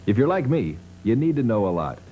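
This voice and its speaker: A man's voice: medium pitch, big pitch swings, a little fast, warm tone, a little hiss or hum, rough recording. A man reading a scripted advertisement for a radio station as its spokesman. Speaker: commercial spokesman.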